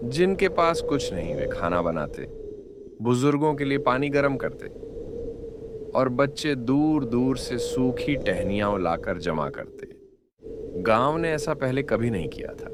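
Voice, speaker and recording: A man narrating a moral story in a slow, expressive, dramatic way. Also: mid-range voice, heavy background noise. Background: loud background music.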